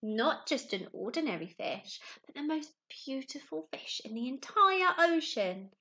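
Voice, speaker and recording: A young woman talks and reads to small children as their teacher. Her voice is high, with lively swings in pitch. She phrases things simply and expressively, often putting questions to the listener. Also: unhurried. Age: young adult. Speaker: primary school teacher. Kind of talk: children's story time, talking to young pupils and reading aloud. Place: small room at home, indoors.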